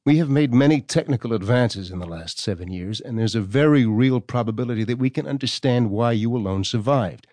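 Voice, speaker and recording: An actor playing a stern, formal government doctor reading out his correspondence in a measured, condescending way for an audio drama. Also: mid-range voice, a little mellow, no room sound, close to an everyday voice. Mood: measured, warning.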